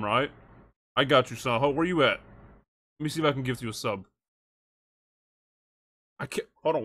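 A man's voice: a little high, pushed and bright. A young man livestreaming, chatting casually and reacting to clips in slangy, swear-laden talk on a close mic. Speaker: live streamer.

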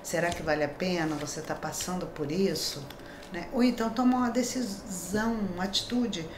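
A woman's voice: lowish voice, normal speed, roomy sound, noisy background. A middle-aged woman from Brazil giving a daily horoscope tarot reading in a chatty, reassuring way, often checking in with tag questions. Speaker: tarot reader. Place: small decorated room indoors.

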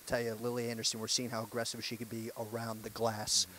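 A young man hosting a post-game show, asking upbeat, brisk questions on a handheld mic. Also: medium pitch, monotone, sharp tone, a little hiss or hum. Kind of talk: sports interview. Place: courtside set in an empty arena.

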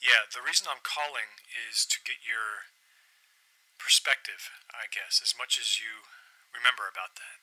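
An actor playing a polite, persistent, obsessed man in an audio drama, speaking in a hesitant, apologetic and halting way. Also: mid-range voice, sharp tone, low-quality recording. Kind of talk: naturalistic audio drama acting.

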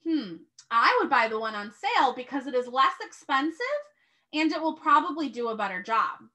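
A woman reads to first graders as their teacher, expressive and instructive. Her voice is high and bright, moving in lively swings as she narrates and asks questions. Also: moderate pace. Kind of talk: children's read-aloud with questions to pupils.

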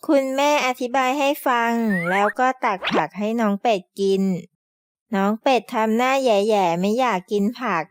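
A woman's voice: a little high, moderate pace, no room sound, clean studio sound. A woman narrating a scripted children's story, explaining things to young listeners as friends.

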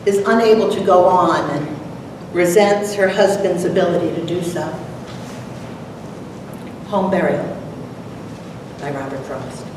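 A mature woman giving a prepared talk and dramatic poetry recital with deliberate, theatrical delivery. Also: mid-range voice. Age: middle-aged or older. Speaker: presenter, dramatic reader. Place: lecture hall, at a podium. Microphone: far camera. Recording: echoey noisy hall from far off.

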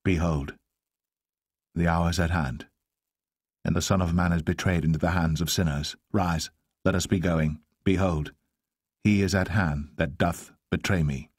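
A man voicing a grave, authoritative teacher in a solemn, measured, exhorting manner. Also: low voice, steady tone, slightly rough, no room sound, clean studio sound. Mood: grave, calm.

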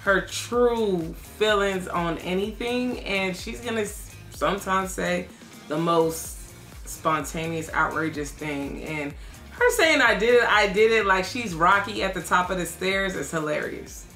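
A young woman talking to camera at home in a casual vlog, relaxed and explaining, laughing easily. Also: mid-range voice, a little slow, roomy sound, soft background music. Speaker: vlogger. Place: living room at home.

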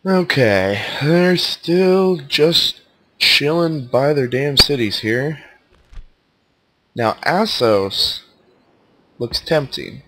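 A man with a stuffed-up, nasal voice giving relaxed, casual commentary while playing a strategy game. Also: on the high side, extremely slow, long pauses, no echo. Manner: laid-back, thinking aloud as he plays. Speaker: let's play commentator.

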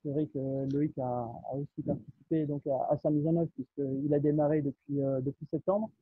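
A man co-presenting a webinar from an office, halting with stammered repeats. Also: on the high side, little pitch movement, husky, no room sound. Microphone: video call. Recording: tinny video call line.

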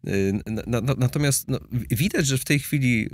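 A man's voice: medium pitch, moderate pace, breathy edge, no echo, clean studio sound. A middle-aged man explaining things as an analyst guest in an interview, with many hesitations and restarts. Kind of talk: conversational expert interview. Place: studio with blue backdrop. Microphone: studio mic on boom arm.

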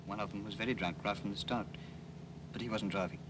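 A young English man, played by an actor, shaken, worn out and earnest. It is old film acting on a clearly noisy soundtrack. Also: fairly low pitch, steady tone, quick pace, natural voice. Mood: subdued, evasive. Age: young adult. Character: shaken, weary, urgent young accident survivor. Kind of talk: 1950s British film acting.

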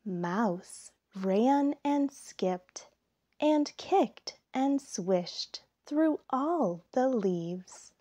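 A woman reading a children's picture book aloud, with deliberate phrasing and expressive emphasis. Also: fairly high pitch. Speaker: storybook reader.

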